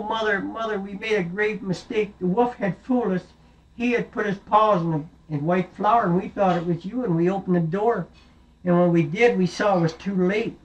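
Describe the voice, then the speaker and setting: A storyteller voicing a frightened little goat kid who pleads in a high, shrill way. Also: moderate pace, muffled recording, close to an everyday voice. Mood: pleading.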